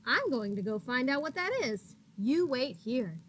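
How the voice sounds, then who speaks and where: Woman voicing a helpful young storybook boy, high voice, unhurried, earnest, eager, faint noise behind. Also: big pitch swings. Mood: earnest, friendly.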